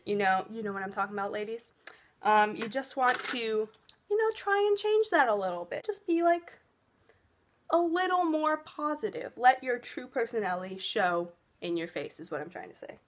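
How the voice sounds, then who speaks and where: A teenage girl vlogger giving casual tips to camera, chatty and full of "like" and "you know". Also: fairly bright tone. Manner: chatty, lots of "like" and "you know", swoops up in pitch for emphasis. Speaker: beauty and cheer vlogger.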